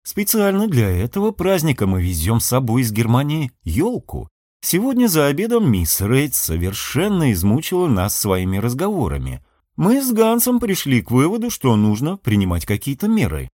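A man's voice: mid-range voice, wildly swooping pitch, normal speed, mellow, dry recording, clean studio sound. A man voicing a portly older ship's captain in an audiobook, jovial and scheming, speaking with mock-formal emphasis. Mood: solemn, grumbling.

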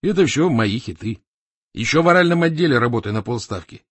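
A man's voice: mid-range voice, expressive, a little fast, breathy edge, dry recording. A man reading an audiobook as a surly male copywriter, a laconic loner with a dry manner. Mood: boastful, sardonic.

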